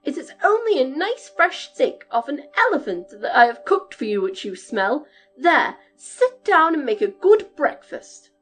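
A bossy, overworked giantess talks in loud, commanding tones, voiced by a woman reading a fairy tale. Her high voice leaps up and down. Soft music plays underneath. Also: very animated, unhurried, full character voice. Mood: scolding. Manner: loud, domineering.

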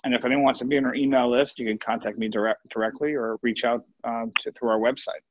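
Male chef, mid-pitched voice, informal halting delivery, live cooking demo, muffled dry video-call sound. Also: steady tone, no echo. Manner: informal, halting, thinking aloud. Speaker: executive chef giving a cooking demonstration. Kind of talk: casual live cooking demo, question and answer.

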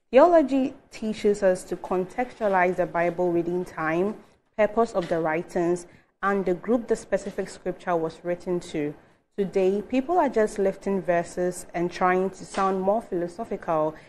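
A woman co-presenter reading viewer comments aloud on a live talk show, in a steady, clear voice. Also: fairly low pitch, a little hiss or hum. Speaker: social media desk co-presenter.

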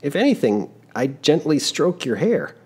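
A man reading a storybook aloud, voicing an eager, polite young male traveler who protests quickly. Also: fairly high pitch, big pitch swings, a little mellow, slightly rough, voice pushed up. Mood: indignant.

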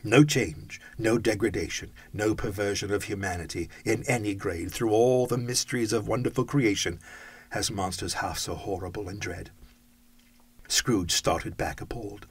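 A man's voice: on the low side, fairly even intonation, normal speed, breathy edge. A man reading a classic story aloud, with dramatic, rhythmic phrasing. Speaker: audiobook reader. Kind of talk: expressive storybook narration.

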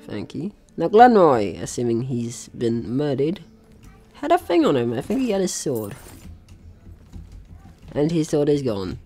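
A man's voice: medium pitch, wildly swooping pitch, a little slow, pauses often, mellow, soft background music. A man doing casual let's play commentary, with playful asides and game text read aloud. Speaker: gaming video host.

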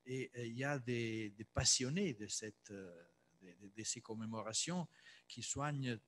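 A man hosts a cultural talk in a medium-pitched voice. He speaks off the cuff and often searches for the next word. Faint noise sits behind him. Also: unhurried, fairly bright tone. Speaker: event host, moderator. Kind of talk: unscripted moderating at a cultural talk. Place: salon with piano, indoors.

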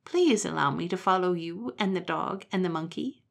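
A woman's voice: on the low side, animated, normal speed, vocal fry. A woman voicing a humble, apologetic pheasant in a storybook reading, in a meek, pleading way.

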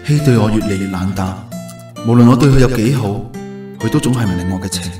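A man's voice: fairly low pitch, quick pace, close to an everyday voice. A man acting a devoted, earnest and unsure young man in love in a radio drama, with a Hong Kong Cantonese accent. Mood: dejected. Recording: roomy echo, music bed.

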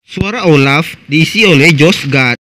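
A man's voice: on the high side, moderate pace, heavy background noise. A man with an Indonesian accent reading scripted narration for a video.

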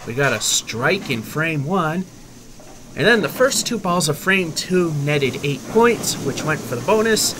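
A man's voice: fairly high pitch, very animated, unhurried, noisy background. A man announcing scores and recaps as narrator of a bowling show. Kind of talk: scripted recap announcing over music.

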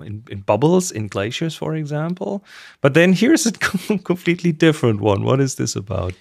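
A man's voice: medium pitch, no echo, studio quality. A middle-aged man co-hosting a podcast in a casual, chatty style. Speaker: podcast co-host. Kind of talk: casual chat. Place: indoor room on a video call.

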